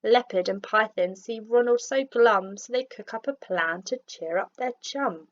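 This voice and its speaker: A woman teacher narrating a rhyming children's storybook in an expressive, measured read-aloud style, on a lo-fi recording. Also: a little high.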